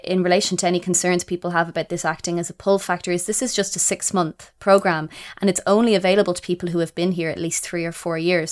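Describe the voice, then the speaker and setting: A woman reporting and explaining a news story in a measured way. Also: fairly low pitch.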